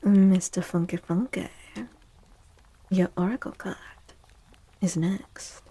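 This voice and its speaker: A woman softly speaking and whispering in a gentle, hushed ASMR style, as a livestream host giving a tarot reading. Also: on the low side, flat delivery, background noise. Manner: gentle, hushed, unhurried, soothing repetition.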